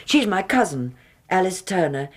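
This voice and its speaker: A woman's voice: on the low side, sing-song, normal speed. An actress playing a fond, stubborn older housekeeper in a radio drama, bustling, insistent and motherly. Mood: brisk, dismissive. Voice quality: husky.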